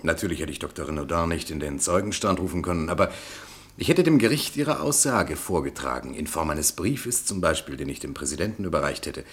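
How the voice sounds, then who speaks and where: A man's voice: rumbling low, lively intonation, fairly quick. An actor playing a shrewd, eloquent defence lawyer in a German radio drama, relishing his words theatrically. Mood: shrewd, regretful.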